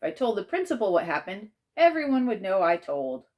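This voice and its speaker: A woman reading a children's story aloud as an expressive, dramatizing narrator. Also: mid-range voice, brisk. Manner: animated, dramatizes a child's first-person tale. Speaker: author and teacher reading aloud.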